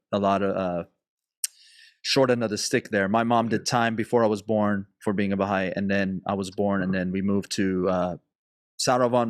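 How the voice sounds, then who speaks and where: A man's voice: lowish voice, dry recording, studio quality. A man hosting a podcast, laid-back and joking, laughing easily and swearing casually. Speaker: podcast host. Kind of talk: casual conversation.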